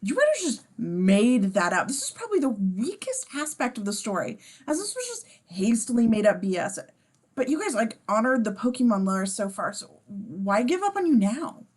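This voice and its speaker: A woman's voice: a little high, sing-song, moderate pace, husky. A woman reading stage directions in an amateur table read, casual and sardonic, dropping in asides.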